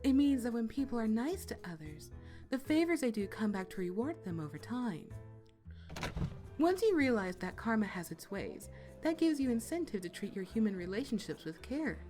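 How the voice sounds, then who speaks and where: Woman as a teasing, mysterious sorceress, slightly high, unhurried, fan audio drama. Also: big pitch swings, dark tone, faint music behind. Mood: coy. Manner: playful, languid teasing. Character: teasing, worldly adult witch woman.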